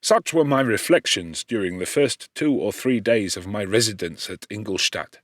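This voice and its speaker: A man narrating a classic novel as an audiobook in a measured, formal and expressive way. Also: lowish voice, no echo, clean studio sound.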